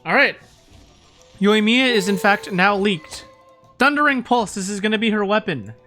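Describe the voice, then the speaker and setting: A woman giving casual, excitable live commentary as she streams a game, playfully reacting. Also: medium pitch, normal speed, soft background music.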